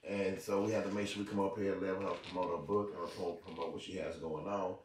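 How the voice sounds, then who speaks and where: A man hosting a comedy podcast, rambling and repeating himself, breaking into loud laughs, sounding a little hoarse. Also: low voice, little pitch movement, quick pace. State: says he is hoarse. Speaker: podcast host. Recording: echoey room, distant mic, faint hiss.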